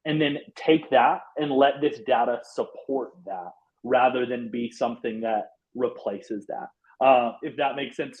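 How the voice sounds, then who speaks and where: Affable man presenting a church webinar. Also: medium pitch. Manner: affable, many fillers like "um" and "you know". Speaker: church outreach consultant, former pastor. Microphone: video call. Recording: dull lo-fi webinar audio.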